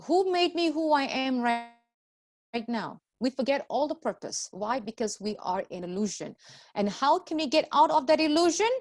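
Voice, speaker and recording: A woman's voice: high-pitched, animated, normal speed. A woman teaching a scripture class to a group, explaining in a didactic way with repeated phrases and rhetorical questions.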